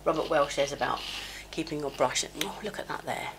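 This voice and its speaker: A woman's voice: sits low, fairly quick, gravelly, noisy background. A middle-aged woman doing her makeup at home while chatting in a rambling, self-mocking way, slightly chesty with a cold. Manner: chatty, scattered, self-mocking, many fillers. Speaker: beauty vlogger. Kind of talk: rambling get-ready-with-me chat. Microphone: small mic clipped near her, sometimes covered.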